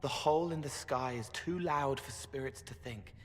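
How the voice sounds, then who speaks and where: An actor voicing a gentle, boyish young spirit in a game cutscene, earnest and literal, speaking in short cryptic phrases. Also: male voice, medium pitch, moderate pace, natural voice. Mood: earnest, pleading. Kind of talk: video game cutscene acting. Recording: hall reverb, game music behind.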